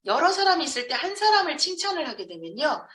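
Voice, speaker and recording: Echoey, high-pitched woman lecturing emphatically. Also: sing-song, quick pace, a bit bright. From Jeonju, South Korea. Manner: emphatic, asks rhetorical questions and answers them. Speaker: business training lecturer. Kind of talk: motivational lecture to an audience. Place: lecture room with an audience. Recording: echoey room, distant microphone.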